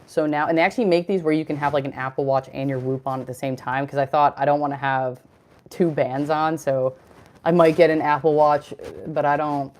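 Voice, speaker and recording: Deep-voiced woman, chatty livestream host. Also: fairly even intonation, a little fast, darkish tone. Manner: chatty, rambling, reads chat comments aloud. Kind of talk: casual livestream chat.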